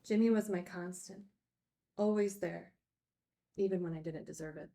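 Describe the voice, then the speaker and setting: An actress playing a candid, wry recovering addict who teaches at a group home, in a naturalistic screenplay read. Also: female voice, on the low side, normal speed, gravelly, dark tone. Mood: reflective, confessional.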